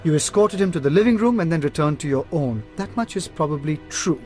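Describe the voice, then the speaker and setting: An actor playing an earnest, sharp-minded detective in a radio drama, protective and articulate, with a probing manner. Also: male voice, on the high side, lively intonation, brisk, faint music behind, lightly acted.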